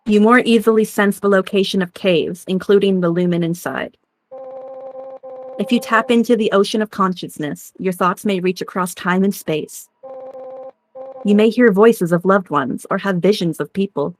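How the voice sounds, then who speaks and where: A woman playing a kind, knowledgeable crewmate in a drama, explaining things in a gentle manner. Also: medium pitch, normal speed, slight background noise, lightly acted. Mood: caring, explaining. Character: kind, knowledgeable young female crewmate with mystical learning. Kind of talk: dramatic game-script acting.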